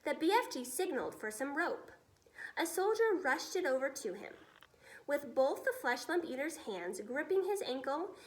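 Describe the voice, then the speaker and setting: A woman reading a children's story aloud as expressive scripted narration. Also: sits high. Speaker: storybook reader.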